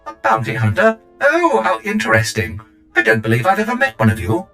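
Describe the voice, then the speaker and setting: A man's voice: on the low side, huge pitch swings, brisk, fairly bright tone, faint music behind. A man playing a fussy, polite receptionist droid in a video game, speaking formally and obsequiously. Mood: unctuous politeness. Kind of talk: video game cutscene acting.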